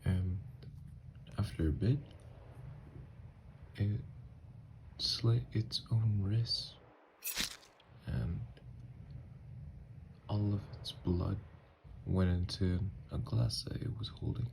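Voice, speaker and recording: A man playing an anguished, newly turned vampire in an intimate ASMR roleplay, speaking in a halting, hushed, gravelly voice. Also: deep voice, a little hiss or hum, full character voice, low-quality recording. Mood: haunted. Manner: halting, hushed, breathy delivery with long pauses.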